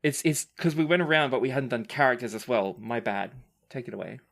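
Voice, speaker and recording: A woman hosts a casual podcast Q&A as game master. Her pitch moves around a lot as she moderates in an informal, conversational way. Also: animated, brisk, creaky.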